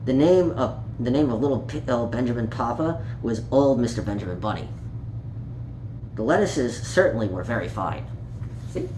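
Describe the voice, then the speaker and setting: Animated man reading a children's storybook aloud. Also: medium pitch. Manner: playful, child-directed, with small exclamations and questions to listeners. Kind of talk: read-aloud children's picture book with playful asides. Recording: roomy home recording with faint noise.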